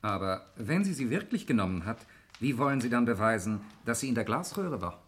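A man's voice: mid-range voice, sing-song, a little fast, breathy edge. A man playing a stubbornly unconvinced nephew in a 1960s radio crime drama, objecting insistently. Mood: puzzled, doubting. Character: doubting nephew named Erik, stubbornly unconvinced.

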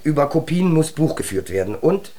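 An actor playing an eager, pedantic secret police underling in a radio drama, clipped and officious. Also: male voice, a little high, very animated, normal speed, mellow.

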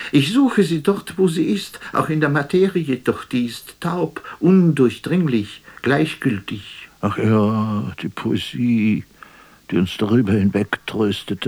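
An actor playing a melancholy, self-doubting stage player in a radio drama, speaking in a declamatory, poetic way that moves between murmur and outcry. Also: male voice, mid-range voice, a little mellow, dry recording. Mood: impassioned. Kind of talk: theatrical radio drama monologue.

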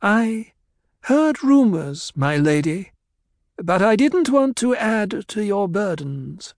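A man's voice: sits high, big pitch swings, slow, no room sound, studio quality, pitched above the performer's own voice, exaggerated voice. A man voicing a nervous male court doctor who speaks hesitantly and apologetically. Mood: deferential.

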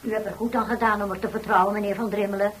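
A woman's voice: mid-range voice, flat delivery, racing, a bit bright, slight background noise, close to an everyday voice, low-quality recording. A woman acting a kind-hearted, opinionated, motherly neighbour in a radio drama, with a sympathetic and emphatic way of talking. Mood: reassuring, pitying. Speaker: radio actor.

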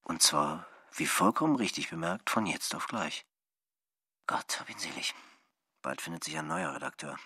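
An actor playing a wry, self-questioning poet who muses aloud to himself in a radio play, with a rough voice. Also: male voice, low-pitched, sing-song, moderate pace, sharp tone, no echo, studio quality, full character voice. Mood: wry musing.

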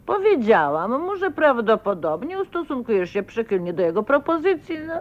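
An actress playing a fussy older aunt in a radio drama, easily scandalized and prone to stammer and repeat herself. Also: female voice, on the high side, very animated, normal speed. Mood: coaxing, prim. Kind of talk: Polish radio drama acting. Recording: dull, noisy archive radio recording.

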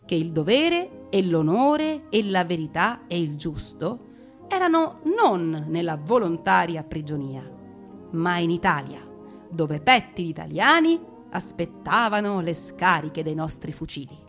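A woman giving a theatrical, scripted narration of wartime memoirs in a solemn, dramatic manner, with deliberate, emphatic phrasing. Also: on the low side, sing-song. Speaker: narrator and actress reading memoirs. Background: music.

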